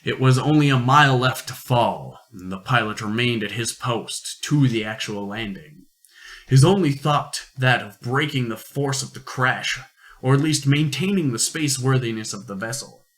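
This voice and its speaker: A man narrating an amateur science-fiction radio play in deliberate storytelling phrases. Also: mid-range voice, a bit bright.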